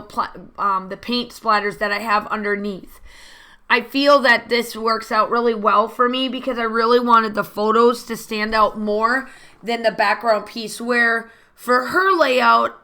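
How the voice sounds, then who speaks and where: A woman hosting a scrapbooking channel, rambling chattily through a crafting voiceover with many fillers, her voice slightly hoarse from allergies. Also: fairly high pitch, sharp tone. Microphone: voice-over recorded separately from the video.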